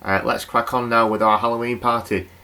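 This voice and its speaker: A man's voice: mid-range voice, little pitch movement, brisk, bright, forceful tone. An actor playing a chatty, wry male local radio night host. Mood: mildly flustered.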